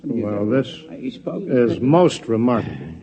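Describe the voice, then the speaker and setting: An American actor playing a middle-aged, formal and bemused Pentagon chief in a 1950s radio drama, deliberate and official. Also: male voice, mid-range voice, sing-song, mellow, slightly rough, exaggerated voice. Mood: baffled. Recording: muffled old radio recording.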